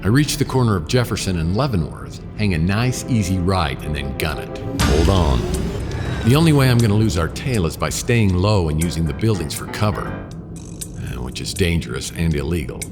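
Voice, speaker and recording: A hard-boiled male private eye narrates a noir radio drama in an extremely deep voice. He is wry and laconic. His voice carries a long reverb, with music clearly playing behind it. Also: expressive, normal speed. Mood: tense, focused.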